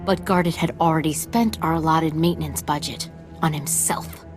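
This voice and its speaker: An actress playing a blunt, sardonic, aggrieved quartermaster in a sci-fi video game. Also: female voice, low-pitched, fairly even intonation, normal speed, over music, close to an everyday voice. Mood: insistent. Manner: sarcastic, blunt. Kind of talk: video game dialogue acting.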